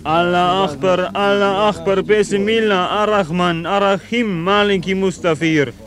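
An actor playing an excitable, devout Crimean Tatar prisoner in a radio play, exclaiming in a chanting manner. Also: male voice, high-pitched, a little slow. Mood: fervent. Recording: voices and crowd clamour behind.

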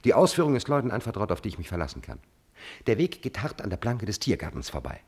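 Scheming chamberlain for classical radio drama: deep, husky, lively inflection, servile yet cold and insinuating. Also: male voice, fast talker. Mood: excited, boastful. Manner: insinuating, theatrical. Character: scheming male court chamberlain, servile, cunning, cold-bloodedly ambitious. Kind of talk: German classical stage drama acting.

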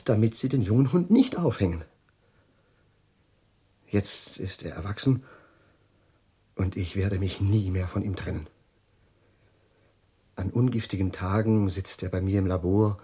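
Husky, absent-minded middle-aged professor. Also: male voice, lowish voice, warm tone, full character voice. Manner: musing, halting, distracted. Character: absent-minded, lonely, overwrought male professor devoted to his dog. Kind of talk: radio drama acting.

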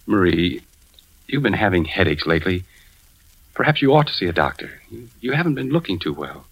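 A man's voice: low voice, very animated, brisk, fairly bright tone, husky, heavily acted. An American actor playing a suspicious, anguished husband, an insurance investigator who narrates his story in a tense 1940s radio drama style. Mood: gently concerned. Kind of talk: 1940s radio drama acting, first-person suspense narration. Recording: dull 1940s radio recording with music.

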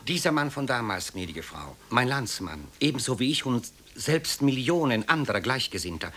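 An actor playing a courteous, reserved but morally firm Polish man in a German radio drama, polite and measured. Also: mid-range voice, big pitch swings, fairly bright tone. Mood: grave, judging.

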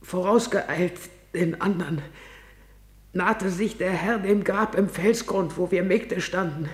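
An actress playing a distraught maidservant messenger in a tragic verse radio drama, with a solemn, anguished delivery. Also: female voice, fairly low pitch, a little mellow. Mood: grave. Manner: solemn, halting delivery swinging into anguished cries. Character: distraught maidservant bringing news of royal deaths.

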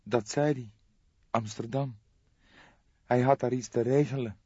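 A man voicing a distraught, helpless young woman in a tearful, halting way for an audiobook. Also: medium pitch, fairly even intonation, a little mellow, husky, dry recording, dull audio, pitched above the performer's own voice. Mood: subdued, sad. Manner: wailing, halting, tearful delivery. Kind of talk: audiobook character voice.

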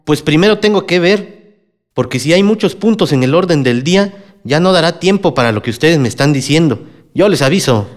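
A man's voice: fairly high pitch, animated, a little fast, close to an everyday voice. Man acting a dismissive Mexican small-town mayor. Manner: officious, dismissive, guarded. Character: evasive, condescending male small-town mayor. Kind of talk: radio drama acting.

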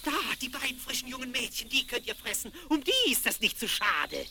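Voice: sing-song, a little fast, creaky. An actor voicing a screeching, ungrateful little old dwarf in a fairy-tale radio play for children. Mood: terrified pleading. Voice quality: screeching, shrill. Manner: scolding, indignant, quarrelsome. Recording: crackly 1970s vinyl record.

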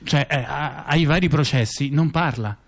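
Man telling a story in halting, digressive bursts. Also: fairly high pitch, gravelly. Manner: halting, digressive, repeats phrases and checks in with the listener. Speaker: storyteller-performer in a two-person stage dialogue. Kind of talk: conversational satirical storytelling.

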